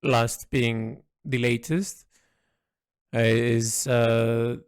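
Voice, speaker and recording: A man narrates a personal artist's log in a reflective way. He speaks slowly, pausing and feeling his way through each thought with halting phrasing. The recording is dry, with hardly any room around the voice. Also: medium pitch, steady tone, clean tone, no room sound. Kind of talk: reflective spoken audio log.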